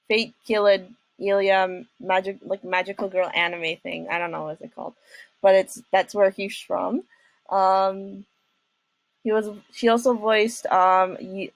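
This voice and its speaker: A woman's voice: medium pitch, low-quality recording. A young woman hosting a casual reaction video, talking in a hesitant, rambling way.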